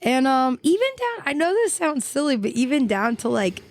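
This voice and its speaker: A young woman chatting as a podcast guest, her voice a touch high for a woman. She is giggly and talkative, often trailing off and starting over, and the sound is dry and close with almost no room. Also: sing-song, moderate pace, no room sound. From Texas. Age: 20s. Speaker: guest singer-songwriter. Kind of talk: casual podcast chat.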